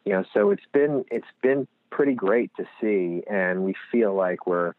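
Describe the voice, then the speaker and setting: A man's voice: on the low side, expressive, measured pace, no room sound. A man with a Lehigh Valley Pennsylvania accent, a guest on a podcast interview, explaining his work earnestly with many "you know" fillers. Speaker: guest, nonprofit executive director. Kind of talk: interview answers. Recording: tinny phone-line audio.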